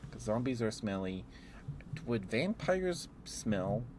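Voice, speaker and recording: A man's voice: fairly low pitch, big pitch swings, takes it slowly, background noise. A man doing a casual ASMR ramble as a livestreamer, playfully musing aloud and letting thoughts trail off.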